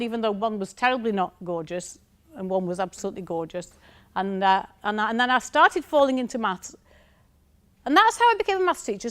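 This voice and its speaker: Chatty northern English woman presenter. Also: a little high. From north of England. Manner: chatty, anecdotal, often checks in with "okay?". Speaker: university researcher giving a conference talk. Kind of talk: conference presentation.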